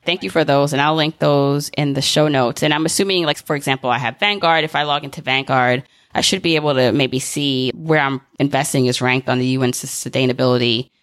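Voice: mid-range voice, fairly even intonation, fairly quick, hardly any pauses, a bit bright, no room sound, studio quality. A podcast host in casual conversation, framing long, conversational questions. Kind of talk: casual interview chat.

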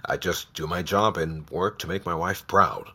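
A man's voice: deep voice, steady tone, moderate pace, sharp tone, slightly rough, full character voice. An actor playing a humble, honorable male army sergeant who speaks earnestly and respectfully. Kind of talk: audio drama acting.

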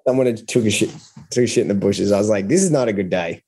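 A man's voice: on the low side, fast talker, mellow, slightly rough. An Australian man with an Australian accent, a podcast guest and comedian telling anecdotes in a casual, conversational style.

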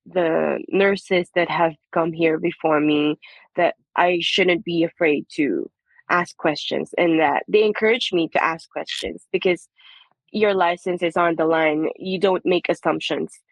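Woman nurse guest, careful and measured, lowish voice, dry close sound. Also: no room sound, poor audio. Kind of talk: panel talk.

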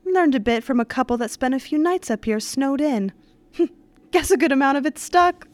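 A woman acting a helpful, quick-witted lodge worker in a 1930s-style radio mystery. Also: sits high, animated, no room sound. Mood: bright, amused.

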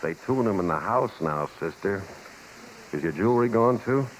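A man's voice: fairly low pitch, animated, moderate pace, a little hiss or hum, close to an everyday voice, rough recording. An actor playing a blunt, exasperated male show-business friend in a 1950s radio drama. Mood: concerned, blunt.

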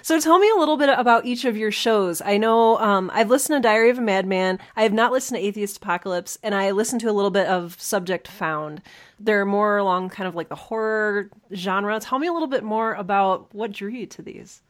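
A woman's voice: medium pitch, a little fast. A woman hosting a podcast interview in an informal, enthusiastic, chatty way. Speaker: podcast host. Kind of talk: casual interview chat.

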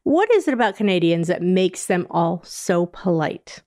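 A woman's voice: fairly low pitch, big pitch swings, moderate pace, mellow. A woman from New Hampshire hosting a podcast, asking friendly, curious questions. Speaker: podcast host. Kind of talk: casual interview chat.

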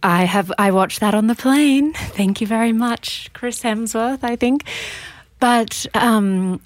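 A woman's voice: a little high, slow, dry recording. A woman hosting a podcast interview, asking questions and chatting informally in a conversational style.

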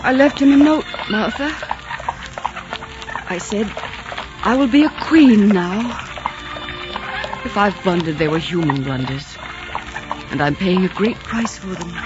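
An actress playing a proud, sorrowful Scottish queen in a 1940s radio drama, earnest and declamatory on a dull old recording with music. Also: fairly high pitch.

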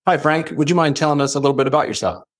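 A man's voice: a little high, steady tone, very fast. A man hosting an interview podcast, putting prepared questions to a guest. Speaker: podcast guest host, industry executive.

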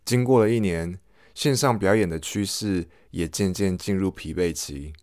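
A man's voice: low voice, normal speed, a little mellow, no echo, studio quality. A man podcast host delivering a scripted, reflective personal monologue.

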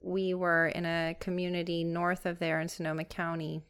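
Nurse lady being interviewed, smooth clear voice, kinda low, steady matter-of-fact. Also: moderate pace. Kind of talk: interview answers.

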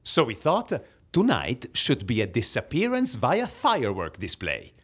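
A proud Italian underling in a comedy audio drama. The voice is husky, with very animated intonation and a defensive streak. The accent is Italian. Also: male voice, medium pitch, moderate pace. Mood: proud, dramatic.

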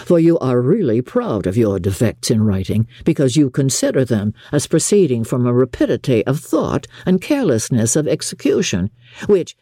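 A woman voicing a proud, reserved, coolly exacting gentleman in an audiobook, formal and measured. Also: extremely low pitch, breathy edge, no room sound, studio quality, heavily acted. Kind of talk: audiobook character acting.

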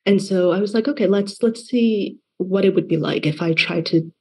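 A woman's voice: mid-range voice, normal speed, breathy edge. A young adult woman from Taiwan, a novelist talking about her book in a casual interview, full of fillers and restarts. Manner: chatty, many fillers and restarts. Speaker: novelist guest.

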